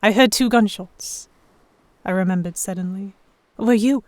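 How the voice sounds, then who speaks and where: A woman's voice: medium pitch, unhurried, darkish tone, dry recording, lightly acted. A woman playing an earnest, emotive noblewoman heroine who narrates an audio drama in the first person. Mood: concerned, annoyed. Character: delicate young noblewoman, vexed, earnest, stubborn romantic heroine.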